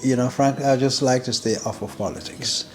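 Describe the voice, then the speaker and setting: A man hosting an interview, asking questions in careful, measured phrasing. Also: mid-range voice, steady tone. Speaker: interview host. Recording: faint background music.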